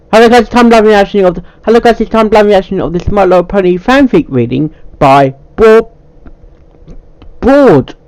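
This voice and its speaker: A man with a slightly high voice talks casually as host of a reaction video. His pitch jumps around in a very lively way. He speaks without a script, stumbling over words and going back to repeat phrases. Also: unhurried, long pauses, no room sound, low-quality recording. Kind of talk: casual unscripted reaction commentary.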